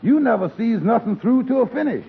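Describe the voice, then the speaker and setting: An actor playing a blunt male friend who scolds his pals, with a gravelly, husky voice and dialect speech, in 1940s radio comedy. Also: very high-pitched, expressive, brisk, heavily acted. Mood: lecturing. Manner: lecturing, frank. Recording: tinny old radio broadcast recording.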